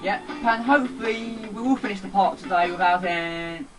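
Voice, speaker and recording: A man's voice: high-pitched, fairly quick, music in the background, low-quality recording. A man chatting casually as a co-host of a Let's Play gaming video.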